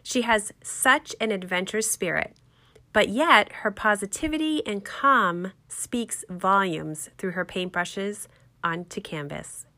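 A woman's voice: mid-range voice, expressive, slow pace, bright, forceful tone. A woman hosting a podcast, talking in an upbeat, enthusiastic way and interviewing a guest. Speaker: podcast host.

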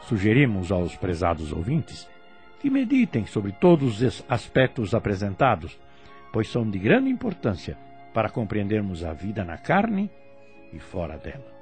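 A Brazilian man giving scripted, didactic radio commentary in a formal, deliberate, solemn manner. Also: on the low side, wildly swooping pitch, darkish tone. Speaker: radio commentator on spiritist teaching. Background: faint music.

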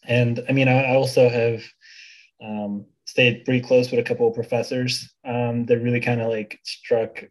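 A young man in his twenties who grew up in Bulgaria, talking as a podcast guest. His voice sits at an ordinary middle pitch, loose and conversational, full of fillers and restarts. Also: normal speed, poor audio. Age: 20s. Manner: relaxed, many fillers and restarts. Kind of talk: casual interview chat.

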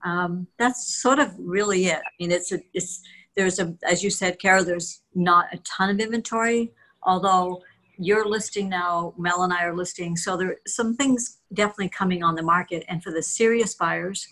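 Low-voiced veteran woman realtor, chatty. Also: normal speed, low-quality recording. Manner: warm, self-mocking, talks at length. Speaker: real estate agent. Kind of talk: casual podcast chat.

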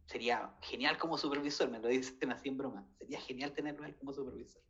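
A man's voice: mid-range voice, steady tone, fast talker, fairly bright tone. Chilean man in his thirties, hesitant guest recounting events, dull lo-fi recording. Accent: Chilean Spanish. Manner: hesitant, many fillers and restarts. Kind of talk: casual storytelling.

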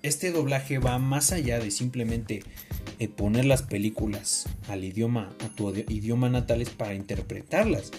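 Mexican guy co-hosting a chat, slangy n expressive, opinionated, mid pitch, bit of music behind. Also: moderate pace.

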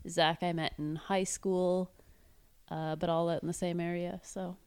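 A young woman from Montreal chatting casually on a podcast, relaxed and agreeable. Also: lowish voice, measured pace, clean tone, no echo. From Montreal, Canada. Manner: easygoing, short agreeing replies. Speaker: podcast team member and editor. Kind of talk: casual team chat.

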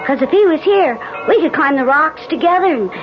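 A young boy in a 1970s radio play, high and very lively in pitch. He is earnest, curious and innocent. The sound is muffled, with music behind. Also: a little fast. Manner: childlike, eager, innocent. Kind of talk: 1970s radio drama acting.

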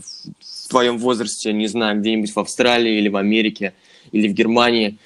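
A man in his twenties co-hosting a casual podcast, joking and talking directly to listeners. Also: medium pitch. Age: 20s. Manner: relaxed, joking, addresses listeners as friends. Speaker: podcast co-host.

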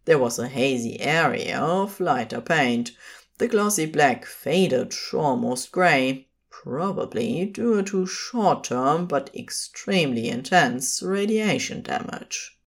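A woman's voice: sits low, expressive, slow pace. A woman narrating scripted fiction as the storyteller of an audio story.

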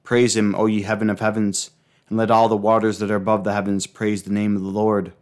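A man reciting liturgical prayers, psalms and hymns in a solemn, measured, reverent manner. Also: on the low side, completely flat delivery, darkish tone, smooth.

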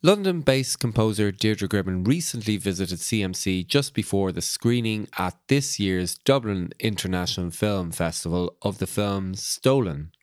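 A man's voice: deep voice, no echo, studio quality. A man hosting a podcast, reading scripted introductions and putting questions to his guest. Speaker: podcast host, interviewer.

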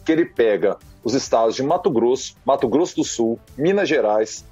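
A man from Goiás giving animated, digressive commentary on a radio talk show, often tagging lines with questions. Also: medium pitch, moderate pace, slightly rough, no echo, lo-fi sound, faint music behind. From Goiás, Brazil.

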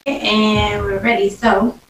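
A woman's voice: mid-range voice, flat delivery, slow, loud music behind the voice. A woman co-hosting a podcast in a casual, informal chat style. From US city. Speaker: podcast co-host. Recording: roomy echo, distant mic, faint noise.

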